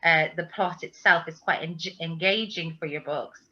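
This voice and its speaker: A woman's voice: deep voice, normal speed, sharp tone. A woman, a teacher hosting a book talk interview, speaking effusively on slightly dull-sounding audio.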